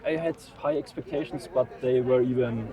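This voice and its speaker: A man from Munich, answering in relaxed, thoughtful English and sometimes searching for a word. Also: mid-range voice, moderate pace. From Munich, Germany. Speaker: tourist being interviewed. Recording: crowd chatter around.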